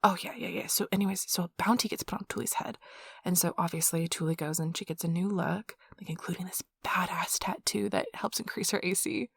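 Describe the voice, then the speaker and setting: Soft husky nerdy girlfriend, acted by a woman, intimate ASMR. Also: lowish voice, fairly even intonation, a little fast, no echo. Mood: gushing, excited. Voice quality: soft, breathy, slightly husky. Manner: gushing, casual, giggly, chatty. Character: nerdy affectionate girlfriend, excitedly rambling about tabletop gaming. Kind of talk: soft-spoken ASMR roleplay, intimate rambling. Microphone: close microphone, dry.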